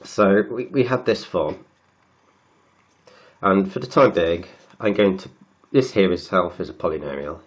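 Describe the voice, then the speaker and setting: Croaky man talking through maths. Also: deep voice, lo-fi sound. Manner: halting, repeats words while writing. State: has a cold, croaky and sniffly. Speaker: maths tutor. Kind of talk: working through textbook maths aloud.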